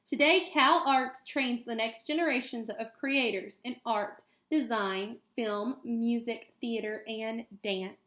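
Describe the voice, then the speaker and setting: Echoey, higher-pitched woman reading aloud. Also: slow pace, hardly any pauses. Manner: expressive, child-directed storytelling delivery. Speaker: elementary school teacher. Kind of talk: reading a children's picture book aloud with asides to students. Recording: echoey room sound.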